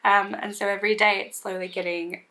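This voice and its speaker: Hoarse woman chatting through her makeup. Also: mid-range voice, level tone, fairly quick, bright, forceful tone, low-quality recording. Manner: rambling, self-deprecating, many fillers and restarts. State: recovering from lost voice, hoarse. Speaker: beauty vlogger. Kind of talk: casual get-ready-with-me chat.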